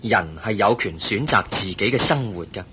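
An actor with a Hong Kong Cantonese accent playing the Buddha in a radio drama, a calm, wise teacher who explains things patiently and in measured phrases. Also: male voice. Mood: patient teaching. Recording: noisy recording with low rumble.

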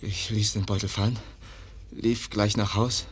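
A frail, wounded young man in a radio drama. His voice is very husky and breathy, slipping toward a whisper, and he speaks slowly in broken phrases, in pain and afraid. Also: on the low side, little pitch movement. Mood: weak, halting. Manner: halting, short broken phrases. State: weak and in pain. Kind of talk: German radio play acting.

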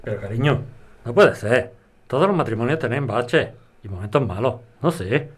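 A man's voice: medium pitch, expressive, moderate pace, husky. A man playing a husband pleading against a breakup in an audio drama. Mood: pleading, agitated.

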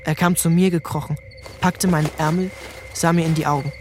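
A man narrating a literary story in a calm, measured way. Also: on the high side, loud music behind the voice. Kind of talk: scripted narration.

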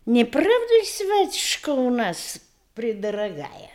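An actress playing a talkative, affectionate elderly country matron in a radio verse comedy, with gushing, old-fashioned folksy speech. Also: female voice, on the high side, huge pitch swings, slow pace, a little mellow, heavily acted. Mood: doting.

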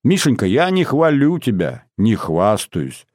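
A man's voice: lowish voice, wildly swooping pitch, unhurried, darkish tone, no room sound, studio quality, heavily acted. A man voicing a young teenage girl in an audiobook, an earnest and proud little sister speaking with nervous, heartfelt feeling. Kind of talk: audiobook character voice.

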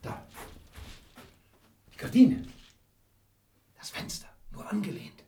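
An actor playing a reserved, solitary European gentleman in a radio drama, moving between raised commands and hushed murmurs. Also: slow, close to an everyday voice. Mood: tense, suspicious.

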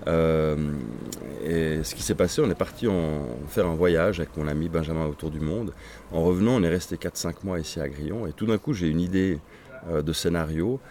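Very low-voiced Swedish-native man. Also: dark tone, slight background noise. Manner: reflective, halting, many restarts. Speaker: crime novelist guest. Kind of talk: interview answers.

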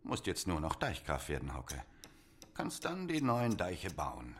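A man's voice: low-pitched, huge pitch swings, a little slow, noisy background, exaggerated voice. An actor playing a poor, middle-aged village father in a radio play, resigned and sardonic in manner. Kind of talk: German radio play acting.

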